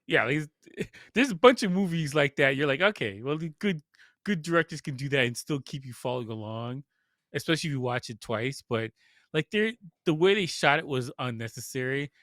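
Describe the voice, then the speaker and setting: Animated, bright-voiced man chatting about films. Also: fairly high pitch, fairly quick, no room sound, clean studio sound. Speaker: podcast co-host reviewing films. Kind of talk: casual film review chat.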